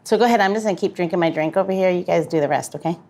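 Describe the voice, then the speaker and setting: A woman's voice: on the low side, very fast, darkish tone, slight background noise. A woman co-hosting a podcast in casual, chatty banter. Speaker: podcast co-host.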